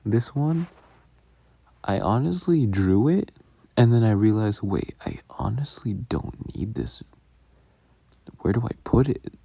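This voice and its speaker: A man with a low, gravelly voice talks through his own creative work as casual commentary. He rambles along slowly and is self-deprecating, swearing offhandedly as he goes. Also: lively intonation, dark tone, no room sound. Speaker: audio roleplay creator. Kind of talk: casual behind-the-scenes commentary.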